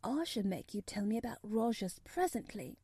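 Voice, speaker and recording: A woman's voice: on the high side, expressive, moderate pace, mellow, husky, dry recording. A woman voicing a spoiled, sickly young boy of wealth who talks in a grand, commanding way in a storybook reading. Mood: curious, lordly.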